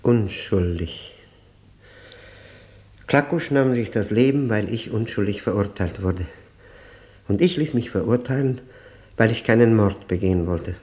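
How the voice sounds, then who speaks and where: A male actor plays a broken middle-aged convict in an old radio play. The voice is fairly low, solemn and halting, weighted with guilt and anguish. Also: a little mellow, close to an everyday voice. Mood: solemn, resigned. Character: anguished, broken, guilt-ridden male long-term prisoner confessing. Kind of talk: 1960s German radio drama acting.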